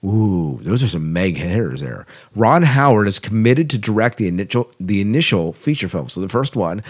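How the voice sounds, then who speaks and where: A man hosting a podcast in a casual style, chatting and reading out news with conversational asides. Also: on the low side. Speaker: podcast host.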